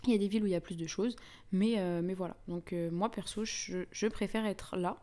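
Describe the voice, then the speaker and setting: A young woman with a Parisian French accent hosts a casual live chat. She talks in an informal, slangy, chatty way at a middling pitch, addressing her viewers directly. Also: fairly even intonation, fairly quick, mellow. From France (Paris). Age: young adult. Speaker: livestream host.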